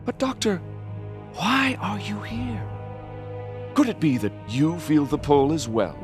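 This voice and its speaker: A man's voice: on the high side, lively intonation, slow, over music. A man voicing a suave, scheming, grandiose villain in a video game, theatrical and condescending. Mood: mocking.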